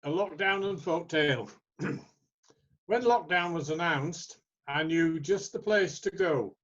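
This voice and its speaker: A man's voice: on the high side, a little slow, a bit bright, dull audio. A man reading his own short story aloud as first-person narration.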